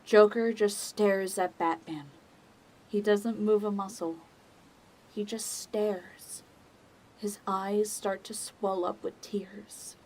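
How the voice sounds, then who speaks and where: A woman giving dramatic scripted narration for an audio drama, in a measured and solemn manner. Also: medium pitch, steady tone, a little mellow, low-quality recording.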